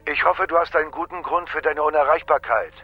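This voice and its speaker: An actor playing a stern, imperious boss, curt and formal, on a muffled phone call in a radio crime drama. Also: male voice, a little high, fairly even intonation, fast talker, soft background music. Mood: reproachful. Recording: muffled, band-limited telephone call.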